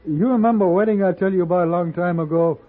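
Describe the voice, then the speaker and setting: An actor playing a meek, rueful Chinese hotel porter with a Chinese accent and hesitant broken English, in a 1950s Western radio drama. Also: male voice, high voice, fast, noisy background, natural voice. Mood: sheepish, weary. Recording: thin phone-like old radio recording.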